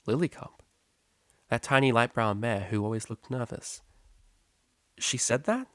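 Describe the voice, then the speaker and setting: A man's voice: mid-range voice, animated, measured pace, a bit bright, no room sound, studio quality. A man voicing a moody young female unicorn student and DJ, curt and slangy, in a story reading. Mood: surprised, casual.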